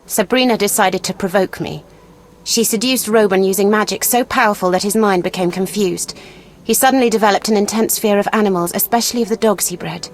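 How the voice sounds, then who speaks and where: A woman's voice: mid-range voice, a little fast, lightly acted. Actress as a proud young noblewoman, noisy ambience behind. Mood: bitter, reminiscing. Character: proud, vengeful young noblewoman turned fighter. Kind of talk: video game dialogue acting.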